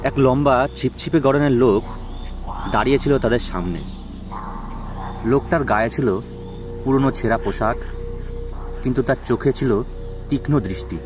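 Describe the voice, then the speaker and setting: A male narrator tells a dramatic suspense tale. His voice is medium in pitch, with music playing behind it. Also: normal speed, pauses often. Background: background music.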